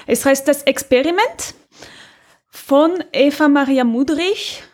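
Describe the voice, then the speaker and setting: A woman's voice: high voice, takes it slowly. A woman co-hosting a podcast, chatting casually as she asks about and presents audio dramas. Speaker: podcast co-host.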